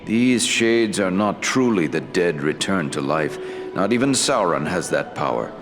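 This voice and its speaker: A man playing a wise, ancient elf lord in a fantasy game, speaking gravely in formal, deliberate phrasing. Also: deep voice, animated. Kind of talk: fantasy game dialogue acting. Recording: long hall reverb, faint music.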